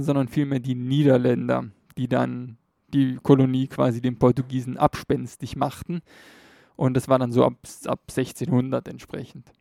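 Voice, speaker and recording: A man talks through a topic in a relaxed, explanatory way, often pausing on fillers and correcting himself. His voice is dark and gravelly, recorded dry and close. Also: medium pitch, dry recording. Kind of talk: casual educational talk.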